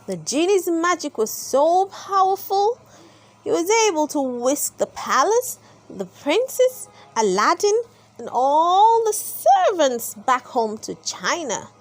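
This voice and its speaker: A woman narrating a children's storybook aloud in an expressive, deliberate storytelling manner. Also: very high-pitched.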